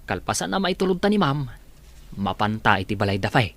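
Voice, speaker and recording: A man's voice: on the low side, very animated, a little fast, fairly bright tone. A man playing a deferential, kindly and scheming household servant in an Ilocano radio comedy-drama. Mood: earnest, persuasive.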